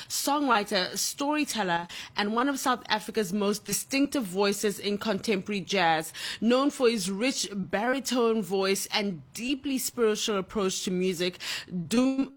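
A woman with a Ugandan accent hosting a radio interview show, bubbly and upbeat. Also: medium pitch. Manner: bubbly, upbeat, quick to laugh. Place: studio in Ghana.

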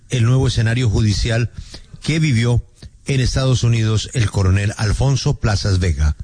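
A man's voice: mid-range voice, a little mellow, husky. A man anchoring a radio news show, handing off to reporters in a conversational way.

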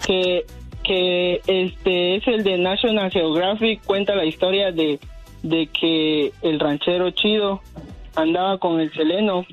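A man's voice: sits high, narrow, tinny audio. A man calling into a radio show and telling a rambling story, full of hesitations. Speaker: caller. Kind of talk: call-in phone chat.

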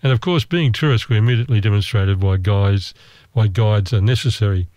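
A man telling a travel story in a wry, self-mocking, measured way. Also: on the low side, a little mellow, no echo. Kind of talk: casual storytelling.

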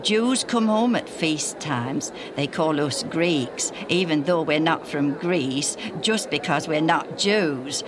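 A woman voicing a calm, knowledgeable man explaining things, in a story reading. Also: low-pitched, slow pace, few pauses, background noise.

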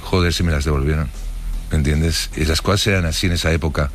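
A middle-aged man from Barcelona with a deep voice and a Spanish accent from Spain. He tells his memories casually, pausing and searching for words in a reflective way. Faint music plays underneath. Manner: colloquial, halting, reflective. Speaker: interviewee telling his life story. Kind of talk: casual spoken recollection.

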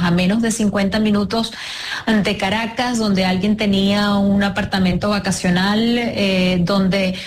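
A woman's voice: mid-range voice, slight background noise. A woman from La Guaira with a Venezuelan accent, giving reflective personal testimony as an interview guest. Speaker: interview guest, disaster survivor.

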